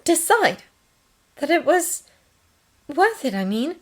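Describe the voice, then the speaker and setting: Narrator as naive, frank young nurse, high lively voice. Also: female voice, moderate pace. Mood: hesitant, probing. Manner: artless, forthright. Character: young well-bred female nurse, naive, frank, curious. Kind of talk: audiobook character dialogue.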